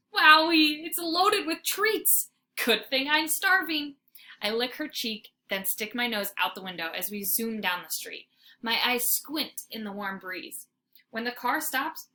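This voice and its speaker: A woman reading a children's book aloud like a teacher, with dramatic, expressive storytelling. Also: medium pitch. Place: informal homemade recording. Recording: faint background noise, homemade recording.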